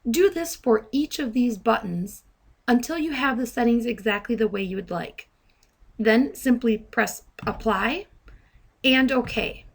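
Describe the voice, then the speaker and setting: High-pitched woman giving tutorial narration. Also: measured pace, gravelly. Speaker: software trainer, presenter. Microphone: handheld dictation microphone.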